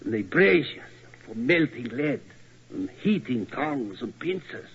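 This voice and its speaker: An actor playing a nervous older museum guide in a 1940s radio drama, with a slow, theatrical delivery. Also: male voice, mid-range voice, sing-song, husky, exaggerated voice. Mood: macabre. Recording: dull old radio recording.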